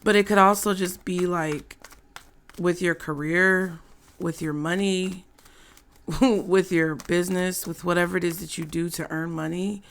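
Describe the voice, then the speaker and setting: A hoarse woman giving a casual, chatty tarot reading to her viewers. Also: on the low side, fairly even intonation. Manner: slow, chatty, laughs often. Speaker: tarot reader.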